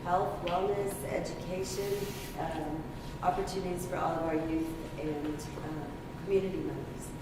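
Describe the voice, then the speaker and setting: Woman, low voice, brisk list of goals, echoey hall. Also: fairly even intonation. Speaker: executive director of a foundation. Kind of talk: self-introduction at a community meeting. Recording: echoey hall from far off, noise.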